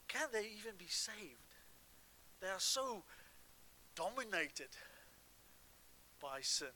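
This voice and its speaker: A man with a South African accent preaching a sermon as a guest pastor, earnest and halting, with frequent restarts and fillers. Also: sits high, sharp tone, rough recording.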